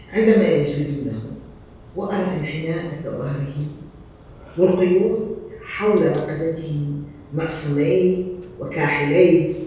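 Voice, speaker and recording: Woman, poetry reading, very quick pace, distant and heavily echoing sound, faint noise. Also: deep voice, a little mellow. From Iraq. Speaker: poet.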